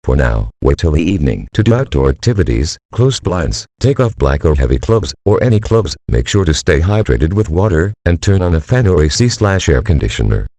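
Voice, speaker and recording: A man's voice: sits low, very animated, brisk, few pauses, dark tone, breathy edge, no room sound, exaggerated voice. A male voice playing an emergency alert system announcer, reading a scripted warning in a formal, mechanical, solemn manner. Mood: impersonal, solemn.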